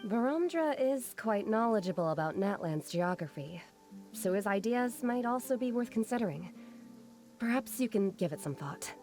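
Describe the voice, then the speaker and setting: A woman voicing a polite, tactful traveling companion in a video game, gentle and diplomatic. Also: mid-range voice, lively intonation, normal speed, lightly acted. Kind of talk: video game character acting. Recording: game music behind the voice.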